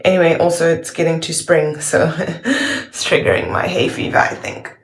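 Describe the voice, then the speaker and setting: A woman hosting a reaction video in casual, rambling chat, full of fillers and restarts, with an accent that is hard to place and a bit of allergy throat-clearing. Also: deep voice, lively intonation, moderate pace, roomy sound. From New Zealand. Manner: rambling, filler-heavy, self-correcting.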